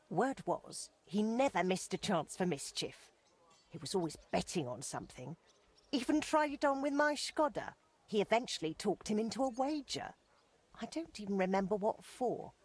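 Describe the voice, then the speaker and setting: A woman's voice: medium pitch, husky, no room sound. An actress playing a motherly older blacksmith's wife in a video game, plainspoken and wistfully reminiscing. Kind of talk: video game dialogue acting.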